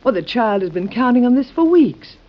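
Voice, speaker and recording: An actress playing a patient, wryly amused wife and mother, soothing but gently firm, in old-time radio drama style. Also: on the high side, sing-song, brisk. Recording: dull lo-fi old radio recording.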